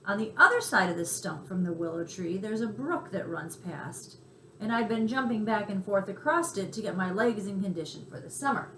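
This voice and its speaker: A woman's voice: mid-range voice, expressive, moderate pace, sharp tone, roomy sound, a little hiss or hum, poor audio. A woman voicing a polite, earnest male cricket who tells his adventure in a mild, chatty way, in a children's story. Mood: wistful reminiscing.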